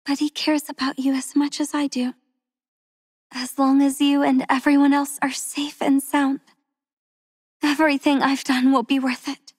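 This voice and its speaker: A soft-spoken, tender game heroine. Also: female voice, high-pitched, dry recording, studio quality. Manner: soft, tender, with frequent breathy whispers. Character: gentle, devoted young woman soldier, soft-spoken and tender. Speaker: voice actress for a game character. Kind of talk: game character voice lines. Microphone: close studio mic.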